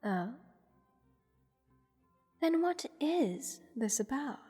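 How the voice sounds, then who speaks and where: A woman playing a haughty, playful goddess who teases a captive mortal in an unhurried, condescending manner, in a roleplay audio drama. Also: high voice, big pitch swings, mellow, husky, exaggerated voice. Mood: taunting. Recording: long hall reverb. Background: music.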